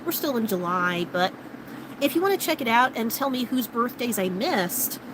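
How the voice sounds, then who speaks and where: A woman's voice: a little high, lively intonation, moderate pace, noisy background. A woman in her late thirties chatting casually and rambling with viewers, many fillers, her voice starting to wear out. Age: 30s. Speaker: vlogger. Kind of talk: casual chat with live viewers.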